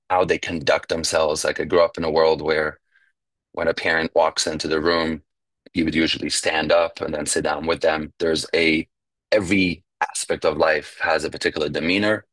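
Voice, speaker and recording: Very deep-voiced man sharing his story. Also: a bit bright, no room sound. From New York. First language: Yiddish. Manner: reflective, halting, with fillers and restarts. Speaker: speaker at a recovery meeting. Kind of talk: personal recovery share.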